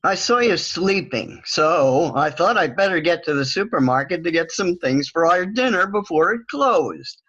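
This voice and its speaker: Man as defensive old husband, bright forceful voice, forgetful, muffled video-call sound, stage comedy. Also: high voice, exaggerated voice. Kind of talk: stage play reading over video call.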